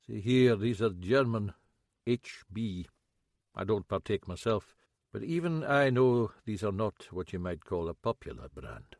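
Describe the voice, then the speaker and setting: A man's voice: on the low side, sing-song, measured pace, creaky, dry recording, studio quality, exaggerated voice. Man doing a cheerful police officer. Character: Scottish male police officer, cheerful and helpful.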